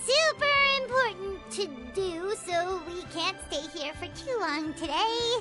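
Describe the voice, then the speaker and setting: A tiny fairy girl sidekick from a game, extremely high and squeaky, with bouncy intonation. Tinkling music plays loudly behind her chirpy, childlike talk. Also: animated, slow, heavily acted. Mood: hasty, covering up. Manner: chirpy, childlike, speaks of herself by name. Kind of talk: video game character acting.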